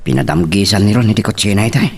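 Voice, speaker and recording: A man's voice: fairly low pitch, very fast, husky, distant mic. A man playing a hot-headed young man in an Ilocano romance drama, with an Ilocano accent. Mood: troubled.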